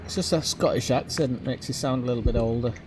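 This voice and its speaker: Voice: medium pitch, quick pace, noisy background. A livestream host chatting casually with friends while playing, apologetic and thinking aloud with fillers. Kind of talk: casual gaming chat with friends.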